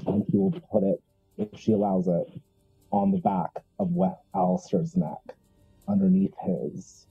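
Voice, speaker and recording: A man playing a tabletop roleplaying game, narrating his character's actions deliberately with dramatic pauses, on muffled stream audio. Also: low voice, little pitch movement, gravelly, husky, faint music behind.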